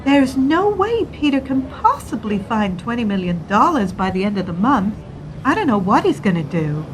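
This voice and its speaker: An actress playing an anxious, secretive senior bank worker in a radio play, speaking with urgency and emphasis. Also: female voice, a little high, sing-song, moderate pace. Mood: worried, urgent. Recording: clear background noise.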